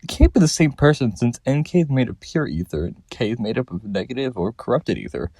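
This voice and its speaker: A man's voice: mid-range voice, sing-song, a little fast, dark tone, dry recording. A man giving scripted commentary as the host of a theory video.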